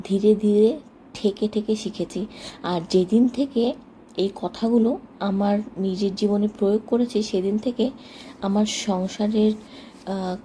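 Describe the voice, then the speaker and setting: A woman's voice: mid-range voice, mellow, slight background noise, poor audio. A woman narrating a casual daily household vlog as a homemaker, chatting to her viewers. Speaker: homemaker vlogger.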